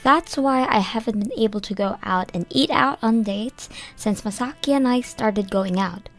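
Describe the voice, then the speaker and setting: A young woman narrating a manga dub in first person, measured and earnest. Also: a little high, soft background music. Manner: measured storytelling, plain and earnest.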